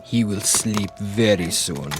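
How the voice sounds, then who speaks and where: Terse, low-voiced Soviet henchman. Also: lively intonation, takes it slowly, music in the background. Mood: impatient. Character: blunt, impatient Soviet henchman and rifleman. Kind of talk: radio drama acting.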